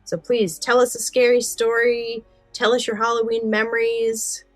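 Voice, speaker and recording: A woman's voice: fairly high pitch, flat delivery, moderate pace, dry recording, faint music behind. A woman co-hosting a podcast, chatting casually in an enthusiastic, talkative way. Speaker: podcast co-host. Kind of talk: casual chat.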